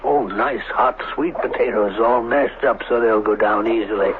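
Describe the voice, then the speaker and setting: A man's voice: fairly low pitch, narrow, tinny audio, lightly acted. An actor in an old radio drama playing a mad scientist, condescending and coldly doting toward his captive subject, on a noisy old broadcast. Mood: creepily coaxing. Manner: condescending, coaxing, measured.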